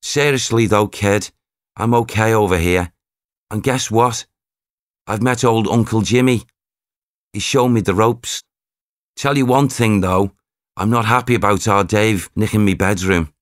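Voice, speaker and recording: A man voicing a cheeky young male spirit with a Liverpool accent, talking cocky and loud. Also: lowish voice, measured pace, long pauses, no echo, clean studio sound, pitched above the performer's own voice, close to an everyday voice.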